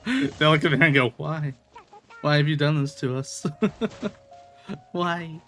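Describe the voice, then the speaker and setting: A man's voice: on the high side, expressive, very slow, a bit bright, soft background music. A man giving casual, playful commentary as he streams a video game, often exclaiming and talking to the characters.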